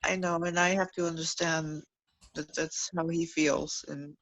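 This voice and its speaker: A woman calling in on a video call, soft-spoken and hesitant, mostly agreeing. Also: low voice, level tone, a little slow, dry recording, rough recording. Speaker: caller.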